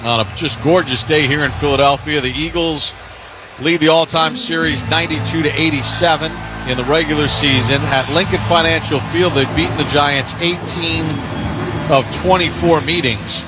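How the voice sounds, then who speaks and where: A man's voice: a little high, normal speed, loud music behind the voice. A man working as a radio sports announcer, giving descriptive play-by-play in clipped phrases with scripted sponsor reads. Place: stadium broadcast booth.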